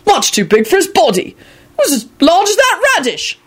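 Lady doing hot-tempered older dad, high blustery indignant voice, story reading. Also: wildly swooping pitch, moderate pace, full character voice. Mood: indignant, threatening.

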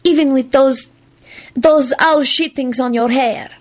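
Woman voicing a high-pitched, sweet foreign young woman. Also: a little slow. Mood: giggly, enthused. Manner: careful, non-idiomatic phrasing without contractions.

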